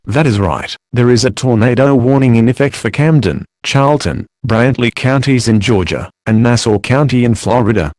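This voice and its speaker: A composed male news anchor played by a man delivering scripted storm coverage. His pitch is average and his manner formal and matter-of-fact, recorded dry with hardly any room. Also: normal speed, few pauses, a little mellow, dry recording, lightly acted. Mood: serious, composed.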